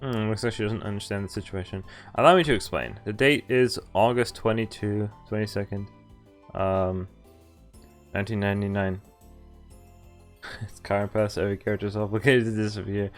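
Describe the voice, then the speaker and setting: A man's voice: lowish voice, steady tone, normal speed, soft background music. A man streaming a visual novel, reading it aloud with casual commentary and some stumbles, amused asides mixed in. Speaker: game streamer.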